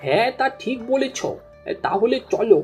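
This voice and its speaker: A woman's voice: fairly high pitch, animated, normal speed, darkish tone, husky, faint music behind. A woman voicing a friendly young village fisherman in a familiar, colloquial cartoon style. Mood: doubtful. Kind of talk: cartoon dialogue.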